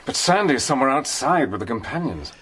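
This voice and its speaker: An actor narrating and playing a brave, level-headed British army major, telling the story in a crisp, steady way. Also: male voice, medium pitch, a little fast, a little hiss or hum. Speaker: narrator and hero. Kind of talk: radio play narration and acting.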